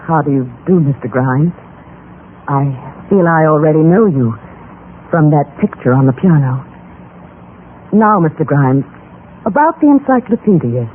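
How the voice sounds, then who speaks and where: A woman's voice: low voice, lively intonation, measured pace, pauses often, narrow, tinny audio. An actress playing a young, ingratiating door-to-door saleswoman, eager and wistful, with a polite, coaxing, hesitant manner in 1950s radio drama style. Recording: band-limited old radio broadcast, background noise.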